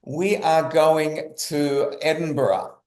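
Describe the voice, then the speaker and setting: A man's voice: a little high, fairly even intonation, slow, a little mellow. A man answering casually as an interview guest, repeating phrases for emphasis. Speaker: guest, writer. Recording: echoey room.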